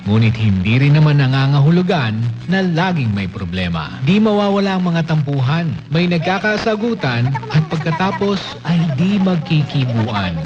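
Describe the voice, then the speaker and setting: A Filipino man reading a sentimental, scripted radio message as an announcer. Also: fairly high pitch. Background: music bed.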